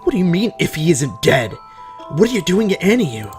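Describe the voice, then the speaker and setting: Man voicing anguished high-pitched young hero. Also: lively intonation, moderate pace, soft background music. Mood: desperate, demanding. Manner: urgent, questioning. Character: anguished, confused, impulsive young male hero.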